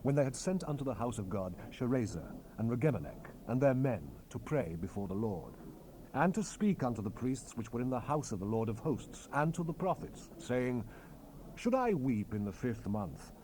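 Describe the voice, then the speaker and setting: Through light cassette hiss comes a man performing a solemn Hebrew prophet in a scripture drama. His tone is soft-edged and dark, and his pitch rises and falls widely as he declaims with commanding, emphatic phrasing. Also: very animated, moderate pace, close to an everyday voice, rough recording. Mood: solemn narration. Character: solemn, commanding male Hebrew prophet relaying God's word. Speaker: scripture narrator. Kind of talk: dramatized Bible reading. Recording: hissy unprocessed cassette tape rip.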